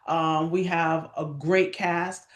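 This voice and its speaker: A woman's voice: low voice, flat delivery, takes it slowly. A woman speaking as an interview guest, chatting casually and enthusiastically about directing. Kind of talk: casual promotional interview chat. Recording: roomy, echoey sound.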